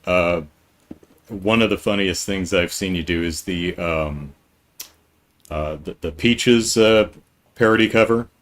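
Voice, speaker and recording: Male podcast host, very low voice, casual, meandering. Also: slow, pauses often, a bit bright. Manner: rambling, many ums and restarts. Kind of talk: casual live-stream interview.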